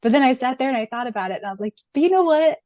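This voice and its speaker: A woman's voice: high-pitched, lively intonation, racing, darkish tone, dry recording, studio quality. A woman co-hosting a podcast, talking casually and emphatically as a fan in a chatty, informal way. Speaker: podcast co-host and audiobook voice performer.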